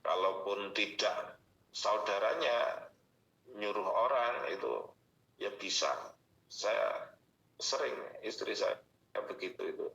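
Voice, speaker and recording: Deep, gravelly male Islamic teacher. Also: sharp tone. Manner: explanatory, repeats and lists points. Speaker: Islamic teacher answering listeners' questions. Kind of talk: religious question-and-answer study session. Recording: muffled radio-like audio, roomy echo.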